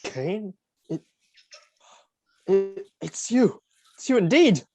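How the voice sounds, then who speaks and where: Man acting a distraught young gamer, swooping pitch. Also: very animated, over music, heavily acted. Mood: shocked. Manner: emotional, halting. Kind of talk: staged reader's theatre acting.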